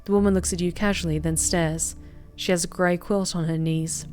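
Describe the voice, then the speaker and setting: A young woman streamer reading a visual novel aloud, with expressive bursts of excited commentary. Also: fairly low pitch, normal speed, faint music behind. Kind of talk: game narration with live reactions.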